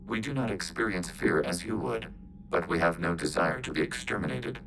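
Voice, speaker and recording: An actor playing a calm, logical machine intelligence in a video game, speaking in formal, detached phrases as a collective. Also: male voice, low voice, a little fast, vocal fry, background noise.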